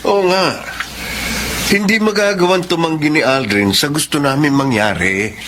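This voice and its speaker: High-pitched, domineering Filipino father played by an actor. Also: normal speed, noisy background, natural voice. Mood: confident, obliging. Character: confident, domineering middle-aged father of the groom. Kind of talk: Filipino radio romance drama acting.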